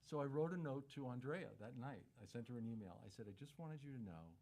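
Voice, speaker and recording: A man's voice: medium pitch, fast, a little hiss or hum. A man who is a stage actor, telling an anecdote in a big theatrical voice. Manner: big theatrical voice, expressive storytelling. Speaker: voice actor recounting a story. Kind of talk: anecdote told in an interview.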